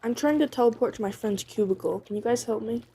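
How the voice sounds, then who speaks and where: An actress as a polite passerby who sounds lost and in need of help. Her voice is a little high, in audio drama style. There is faint ambient noise behind it. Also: quick pace, warm tone, close to an everyday voice.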